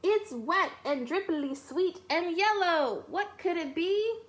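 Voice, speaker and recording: Woman voicing a curious, high-pitched little boy mouse. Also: lively intonation, exaggerated voice. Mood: curious, wondering. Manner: drawn-out, wondering questions.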